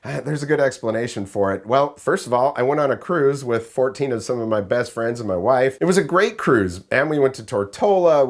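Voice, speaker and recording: Raspy, hoarse-voiced man reviewing gear. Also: medium pitch. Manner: chatty, rambling, easygoing. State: raspy, hoarse voice after illness. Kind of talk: casual product review vlog.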